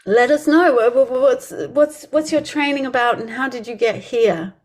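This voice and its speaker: A woman's voice: high-pitched, moderate pace, darkish tone. A woman speaking as a podcast host, introducing and chatting casually with a guest.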